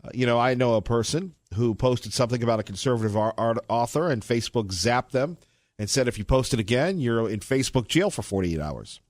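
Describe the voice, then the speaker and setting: A man's voice: medium pitch, lively intonation, fairly quick, no echo. A man hosts a morning talk radio show, chatting in a conversational way and pushing back with questions. His voice sits in a middle range and sounds dry and close to the studio mic.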